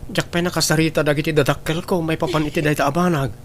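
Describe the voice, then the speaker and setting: A man's voice: fairly high pitch, steady tone, fast talker, slight background noise, natural voice. A man playing an earnest young boyfriend in an audio drama, with an Ilocano accent and a respectful manner. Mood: concerned, reassuring.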